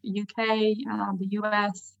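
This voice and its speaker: A woman's voice: mid-range voice, completely flat delivery, slow pace, vocal fry, no echo. A woman with an Australian accent, a lawyer answering interview questions hesitantly with many ums, over a video call. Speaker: guest lawyer. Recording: dull video call audio.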